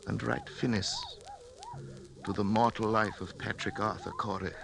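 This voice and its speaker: An actor playing a doomed, remorseful scientist giving a grave, intense confession in 1940s radio drama style. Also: male voice, lowish voice, huge pitch swings, normal speed, gravelly, exaggerated voice. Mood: solemn, bitter. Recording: vintage 1940s radio broadcast with music.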